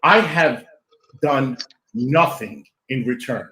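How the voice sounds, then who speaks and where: A woman on an online panel who talks loudly and passionately. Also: a bass voice, expressive, takes it slowly. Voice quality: loud, boisterous. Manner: passionate and emphatic. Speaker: panel guest. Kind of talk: heated livestream panel chat. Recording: echoey room sound.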